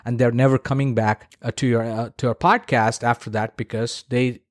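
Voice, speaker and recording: A man's voice: mid-range voice, fairly even intonation, moderate pace, no echo, clean studio sound. A man hosting a solo podcast, explaining practical advice in a conversational way and repeating points for emphasis. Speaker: podcast host.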